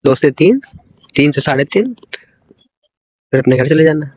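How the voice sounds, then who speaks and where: A man's voice: a little high, sing-song, normal speed, a little mellow, no echo. A young man chatting casually and intimately on a phone call in a coaxing, teasing way. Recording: dull lo-fi phone call recording.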